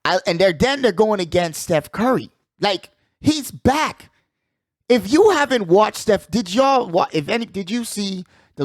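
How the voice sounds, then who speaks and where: A man hosting a casual sports talk show, giving animated, slangy commentary and repeating phrases for emphasis. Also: high voice, no echo, studio quality. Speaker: sports podcast host.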